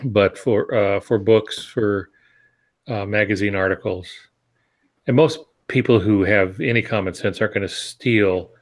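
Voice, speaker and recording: Older man with a low voice. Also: unhurried. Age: 60s. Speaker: podcast co-host and published author. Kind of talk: casual explanatory advice. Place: home office, indoors. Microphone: earbud headset on video call.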